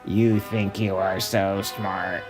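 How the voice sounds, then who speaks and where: A man's voice: sits low, little pitch movement, slow, over music, voice pushed down. A man voicing a gloating, cackling comic-book goblin villain in a script reading.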